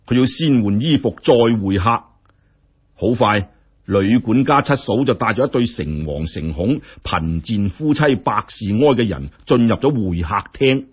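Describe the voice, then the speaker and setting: A man telling a story in colloquial, expressive narration with wry asides. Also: lowish voice, normal speed, breathy edge. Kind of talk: dramatic audiobook-style narration. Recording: dull, muffled lo-fi audio.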